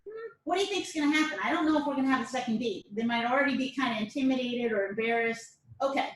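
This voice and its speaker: A woman's voice: high voice, lively intonation, fast talker. A woman instructor giving a casual self-defense lesson, chatty, often checking in with "all right?". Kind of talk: casual class lecture to camera. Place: dojo or training room. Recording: echoey room, mic at a distance.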